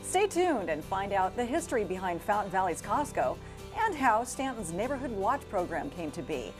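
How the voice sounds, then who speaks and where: A woman at a middle pitch hosts a local TV interview show, with faint music playing behind her. She asks her questions in a polished, friendly way. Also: big pitch swings, normal speed. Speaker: host. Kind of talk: TV interview hosting.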